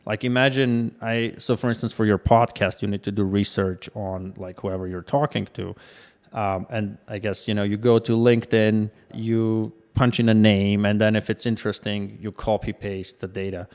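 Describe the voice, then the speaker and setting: Man, low voice, thoughtful. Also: fairly even intonation, moderate pace, a little mellow. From Armenia. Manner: thoughtful, hesitant with fillers and restarts. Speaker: startup co-founder, guest. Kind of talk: conversational interview answers.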